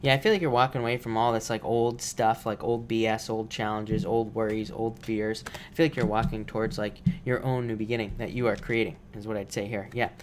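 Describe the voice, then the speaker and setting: A man with a voice made rough by allergies, reading tarot cards on a live stream in a casual, repetitive way with many fillers. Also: fairly low pitch, level tone, brisk, hardly any pauses, a little hiss or hum. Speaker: tarot reader.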